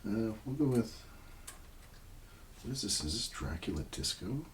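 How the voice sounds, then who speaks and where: A man doing a casual ASMR roleplay, murmuring and rambling in a low-key, unhurried way, sometimes near a whisper. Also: very animated, recorded from a distance, slight background noise.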